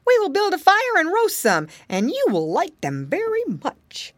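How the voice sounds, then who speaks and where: A playful little know-it-all monkey from a children's storybook, played by a woman. The voice is pitched up high and very animated, explaining things with eager exclamations. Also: a little slow, no room sound, clean studio sound, full character voice. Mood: cheerful, eager. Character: playful, knowing male hand organ monkey.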